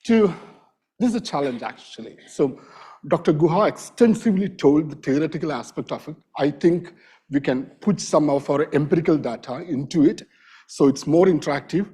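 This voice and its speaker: Raspy-voiced man with a cold. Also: fairly high pitch, animated, warm tone. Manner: informal, self-deprecating, halting. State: has a bad cold. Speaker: invited lecturer, wildlife vet. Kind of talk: academic lecture. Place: lecture hall.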